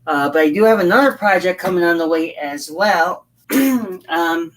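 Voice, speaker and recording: A hoarse woman chatting casually on a livestream as she builds a scale model, rambling and halting with frequent fillers. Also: on the low side, a little hiss or hum, rough recording. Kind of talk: casual livestream chat while working.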